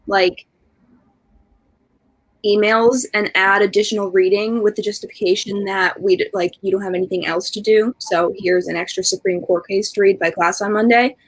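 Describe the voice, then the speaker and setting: A young woman from Pennsylvania, an English and philosophy student on a panel. She speaks articulately and candidly at a mid pitch. She lays out her thoughts in full sentences. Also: steady tone, normal speed, low-quality recording. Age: young adult. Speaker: college student guest.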